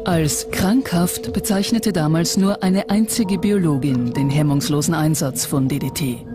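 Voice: mid-range voice, unhurried. A narrator reading a scripted documentary in a composed, prepared delivery. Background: background music.

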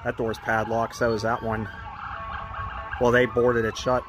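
A man vlogging casually as he walks around an empty building outdoors, chatty and quick to get indignant, with a phone camera's sound. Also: mid-range voice, completely flat delivery, over music. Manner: chatty, ranting, easily indignant. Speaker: urban explorer vlogger. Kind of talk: casual walk-around narration.

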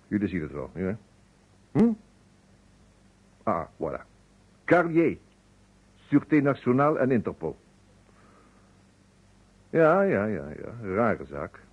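A husky, wry chief detective. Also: male voice, lowish voice, exaggerated voice. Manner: curt, sardonic. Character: brusque, wry male chief police detective. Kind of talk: radio detective drama acting. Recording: dull, muffled old radio recording.

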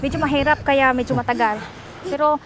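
A woman's voice: high voice, fairly even intonation, fast, crowd noise. A woman in her forties chatting casually into a phone held at arm's length while walking on a windy beach, switching between languages. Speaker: vlogger. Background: wind and surf.

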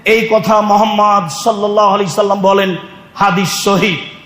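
A man's voice: high-pitched, level tone, moderate pace, a bit bright. A Bangladeshi man preaching an Islamic sermon in an emphatic, declamatory way to a congregation. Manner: emphatic, declamatory, with Arabic recitation. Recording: echoey hall with long reverb.

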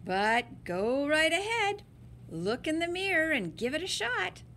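A woman's voice: high-pitched, very animated, a little slow, sharp tone, a little hiss or hum. A woman reading a picture book aloud to children in a dramatic, emphatic and playful way. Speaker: story-time reader.

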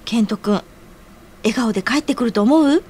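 A woman's voice: a little high, normal speed, a little mellow, husky. A woman playing an impatient, bossy but caring friend in an audio drama, pouting and exclaiming. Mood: anxious, impatient.

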